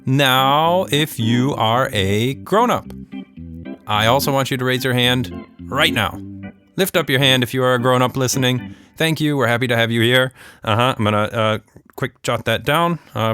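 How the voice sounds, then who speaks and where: A man hosting a children's podcast and telling stories in a playful, mock-serious, unhurried way. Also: mid-range voice, pushed and bright, soft background music. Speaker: podcast host and storyteller. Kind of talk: children's storytelling, casual and scripted.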